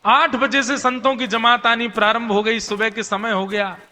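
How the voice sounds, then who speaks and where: High, bright male storyteller in a reverberant hall. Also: little pitch movement, normal speed. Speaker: devotional storyteller.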